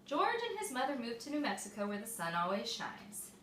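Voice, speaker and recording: A woman reading a picture book aloud to young children, expressive and explaining things simply, like a mother at storytime. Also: fairly high pitch, brisk. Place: echoey room with small children present. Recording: echoey room, distant mic, faint noise.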